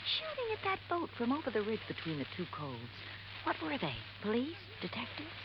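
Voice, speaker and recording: A woman's voice: a little high, huge pitch swings, fairly quick. An actress playing a scheming, scornful, conspiratorial woman in an old radio crime drama. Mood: hushed scolding. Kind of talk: golden age radio drama acting. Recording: muffled old radio recording, loud noise.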